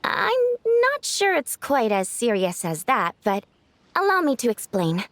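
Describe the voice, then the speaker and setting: A high-pitched, polite young yokai woman. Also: wildly swooping pitch, unhurried, a bit bright, no room sound, full character voice. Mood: earnest, explanatory. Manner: hesitant, courteous, explanatory. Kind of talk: anime game voice acting.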